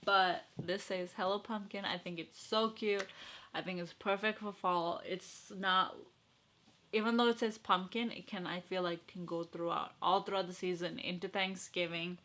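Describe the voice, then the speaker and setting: A young woman chatting casually to her viewers in an excitable, gushing, giggly way. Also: lowish voice, moderate pace. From Sri Lanka and Los Angeles. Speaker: vlogger.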